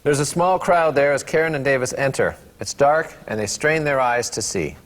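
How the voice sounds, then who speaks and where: A man's voice: medium pitch, lively intonation, moderate pace. A man reads scripted stage directions as narrator at a table read. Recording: reverberant room, faint background noise.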